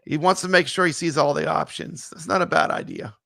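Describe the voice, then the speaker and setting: A man co-hosting a casual live stream, chatting with viewers; his voice is still gravelly from being sick. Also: fairly high pitch, a little fast, no room sound, clean studio sound. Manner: relaxed, chatty, greets viewers. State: gravelly, voice not fully recovered.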